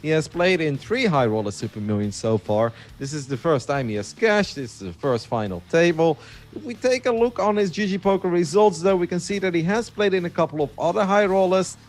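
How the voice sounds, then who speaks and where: A man hosting a poker broadcast, briskly introducing players and handing off to his co-host. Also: fairly high pitch, animated.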